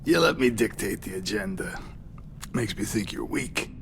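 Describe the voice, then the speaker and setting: An actor playing a cocky, crude older raider held captive in a video game, with a gravelly drawl and a taunting, folksy manner. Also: male voice, on the low side, animated, moderate pace, slight background noise.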